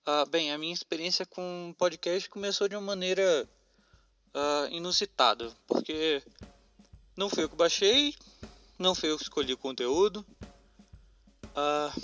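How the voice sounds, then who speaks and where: A Brazilian man casually reminiscing in a hesitant way, pausing and repeating words, on a dull recording. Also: a little high. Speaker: podcaster. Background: plucked guitar music.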